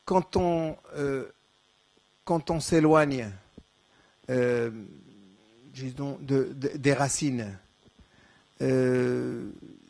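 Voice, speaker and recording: A man's voice: fairly high pitch, a little mellow, no echo. A man from Morocco whose first language is Darija, answering questions at a conference with many restarts and fillers. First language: Moroccan Arabic (Darija). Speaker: conference speaker. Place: lecture hall.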